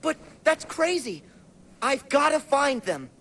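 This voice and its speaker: A man voicing a spirited, good-hearted teenage boy hero in an animated video game, speaking earnestly. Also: very high voice, takes it slowly, noisy background, full character voice. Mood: alarmed. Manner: earnest, eager. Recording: game music behind the voice.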